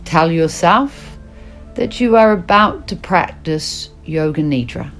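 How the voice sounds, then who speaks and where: A woman teaching yoga nidra, guiding a relaxation meditation in slow, soothing instructions with long pauses. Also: low voice, expressive, a bit bright, faint music behind.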